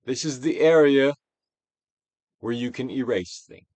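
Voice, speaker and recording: A man giving a dramatic, deliberate reading of a self-help book as a guided exercise, with pauses and big swells. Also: mid-range voice, a little mellow, no room sound. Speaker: audiobook reader and actor.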